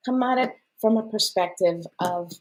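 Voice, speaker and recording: A woman's voice: mid-range voice, normal speed, a little mellow. A woman whose first language was Portuguese, hosting a live stream and reading oracle cards in an effusive, chatty way with many fillers and small laughs. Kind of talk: casual live-stream chat.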